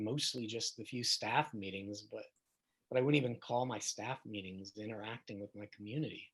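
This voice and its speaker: A man's voice: mid-range voice, husky, poor audio. A man playing a plain-spoken, lonely school staff member in a casual documentary play. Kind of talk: casual documentary theater monologue.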